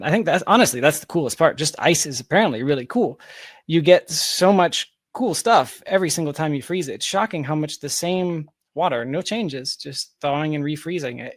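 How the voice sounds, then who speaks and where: A man's voice: a little high, no room sound. Man telling stories casually, dry close sound. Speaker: podcast guest, founder. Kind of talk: casual, anecdotal conversation.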